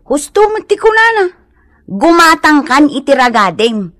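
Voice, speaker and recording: Radio drama wife, Ilocano accent, reasonable and gently scolding, a little high, dry studio sound. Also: female voice, sing-song, unhurried, no echo, heavily acted. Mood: chiding, reasonable. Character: sensible, chiding Ilocano wife advising her husband.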